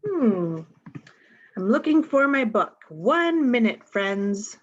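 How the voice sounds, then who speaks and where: A woman reads picture books to little children at an unhurried pace. She speaks straight to her young listeners with simple questions. The audio is dull and slightly muffled. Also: fairly high pitch, sing-song. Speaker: storytime reader.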